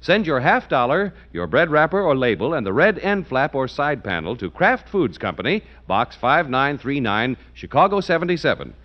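A man announcing a radio show and reading a commercial in a brisk salesman's pitch, on a dull old radio recording.

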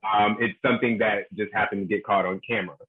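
A man's voice: lowish voice, steady tone, fast talker, breathy edge. A man from South Carolina, a podcast guest, speaking in a reflective, thoughtful way. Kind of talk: casual podcast chat. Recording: tinny phone line.

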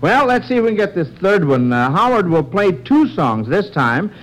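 High-pitched male quiz host, lively swooping delivery. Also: moderate pace, poor audio. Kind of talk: 1940s radio quiz hosting of children.

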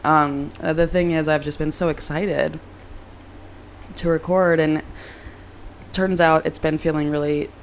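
A young woman hosting her own podcast, hoarse and raspy from a cold, chatting in a rambling, self-deprecating way. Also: sits low, steady tone, measured pace, pauses often, slight background noise. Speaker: podcast host. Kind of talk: casual solo podcast chat. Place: moved from Reno to LA, recording at home.

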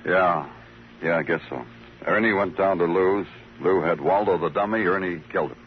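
An actor playing a wry, probing American police lieutenant in a hardboiled old radio drama. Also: male voice, low-pitched, moderate pace, slight background noise, lightly acted. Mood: grim. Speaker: lead actor and narrator. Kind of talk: hardboiled 1950s radio drama narration and acting. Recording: muffled old radio recording with music.

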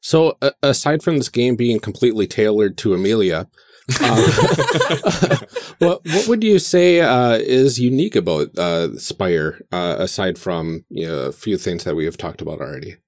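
A man with a lowish, very lively voice hosts a casual interview. He chats with his guest in a dry, echo-free recording. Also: measured pace. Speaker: podcast host.

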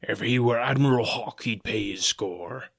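A man voicing a hearty, cunning seafaring tavern landlord with a gravelly, husky voice and salty, drawling, emphatic speech, in a storybook reading. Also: medium pitch, unhurried. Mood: loud, indignant. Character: hearty, cunning one-legged sailor tavern landlord, commanding, genial.

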